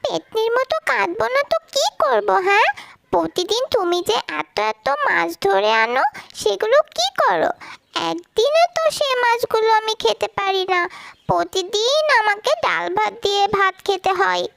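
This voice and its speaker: A woman's voice: extremely high pitch, animated, moderate pace, vocal fry, a bit bright, no room sound, full character voice. A woman voicing a headstrong, affectionate young village girl in a cartoon. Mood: complaining. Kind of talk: cartoon character dialogue.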